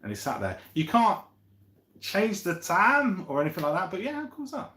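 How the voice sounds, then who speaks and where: Male streamer, 50s, very lively pitch, rambling and chummy chat, echoey room. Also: normal speed. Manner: rambling, chummy, thinking aloud. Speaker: live stream host. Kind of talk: casual chat, reading out and answering viewer comments. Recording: roomy echo.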